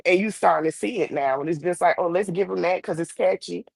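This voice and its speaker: Gravelly-voiced woman caller, dry sound. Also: on the low side, fast, no room sound. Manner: agreeable, relaxed. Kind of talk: casual chat.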